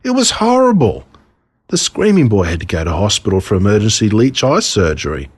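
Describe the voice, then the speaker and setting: An Australian man reading a scripted children's storybook in a dramatic, deliberate way, relishing the gruesome parts. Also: fairly low pitch, very animated, slightly rough. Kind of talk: scripted storybook narration told as a boy.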